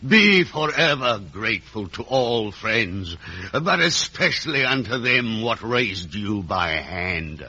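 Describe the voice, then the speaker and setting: An actor playing a pompous, bullying older uncle in a declamatory 1950s radio drama style. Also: male voice, lowish voice, lively intonation, slow pace, few pauses, sharp tone, breathy edge, full character voice. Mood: pompous, scolding. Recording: vintage radio broadcast.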